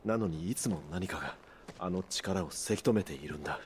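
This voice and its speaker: An actor playing a brooding, terse mercenary hero in a Japanese video game, serious and restrained. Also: male voice, moderate pace, a little mellow, slight background noise, close to an everyday voice. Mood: grim regret. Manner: low-key, serious, restrained.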